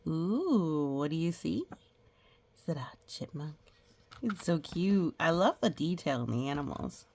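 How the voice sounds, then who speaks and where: A woman reading a children's picture book aloud, with playful sound effects and casual, gentle explanatory asides. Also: sits low, very animated, unhurried, creaky, a little hiss or hum. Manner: explains gently to young listeners.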